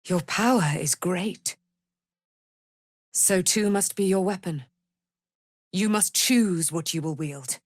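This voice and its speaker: A righteous immortal warrior woman, born of a moon goddess, in theatrical fantasy game style. Her voice sits a little low and moves at a very measured, stately pace. The delivery is proud, formal and grandiose, captured close and dry. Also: no room sound. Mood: scornful, fierce.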